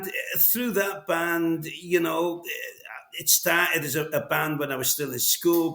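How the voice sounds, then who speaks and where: A man's voice: mid-range voice, a bit bright, echoey room. A middle-aged Welsh man from North Wales, a veteran drummer telling his story in a casual interview, rambling with many fillers and restarts.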